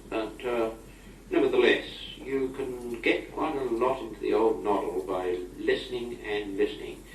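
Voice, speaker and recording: Distant, echoey British man on old tape. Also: fairly low pitch. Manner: chatty, wry, old-fashioned turns of phrase. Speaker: amateur correspondent on a taped letter. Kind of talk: informal spoken letter to a friend. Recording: old amateur tape, roomy, distant, noisy.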